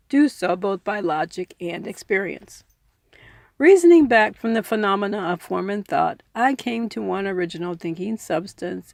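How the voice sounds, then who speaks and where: A woman reading a book aloud as a narrator, in a deliberate manner, with informal personal commentary of her own. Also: fairly high pitch, creaky, no room sound. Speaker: audiobook reader adding own commentary.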